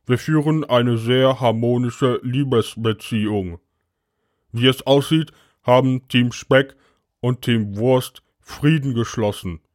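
A man playing an alien agent who files a logbook report in a matter-of-fact, deadpan manner. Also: mid-range voice, slow, no echo, studio quality, lightly acted. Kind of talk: scripted narration, audio logbook.

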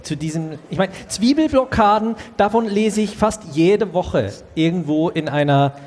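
A man acts as a placating talk show moderator in an improvised comedy. His voice sits a little high and rings out in a big echoing space. He sounds earnest and curious. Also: expressive, moderate pace, a little mellow. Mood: soothing, earnest. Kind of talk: improvised comedy radio play. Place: live workshop performance in a reverberant room. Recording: echoey hall with long reverb.

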